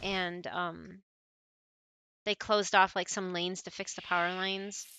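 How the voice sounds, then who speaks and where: A woman livestream host chatting casually with viewers while she plays, in an animated, giggly, playful way. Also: lowish voice, slow pace, slight background noise. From born in Malaysia, family brought to the US.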